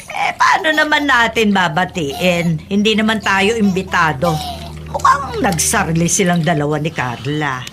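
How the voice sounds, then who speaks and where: An actress in a Filipino radio drama playing a warm, affectionate, hopeful older woman, with an expressive delivery. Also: fairly low pitch, measured pace, background noise. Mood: gentle, consoling.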